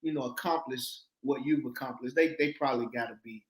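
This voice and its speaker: A man's voice: mid-range voice, brisk. A man hosting a motivational interview show, talking eagerly, repeating words and stumbling over himself as he asks questions. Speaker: show host and coach. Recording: echoey room.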